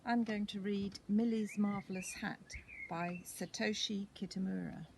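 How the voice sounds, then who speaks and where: A woman reading a picture book to children in an animated, expressive storytelling style. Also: medium pitch, unhurried, a little hiss or hum. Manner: animated, clearly paced narration.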